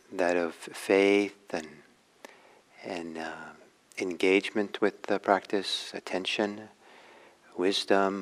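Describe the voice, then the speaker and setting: Male meditation teacher, lower-pitched voice, reflective and halting delivery with frequent pauses. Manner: halting, reflective, pausing often. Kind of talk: guided meditation talk.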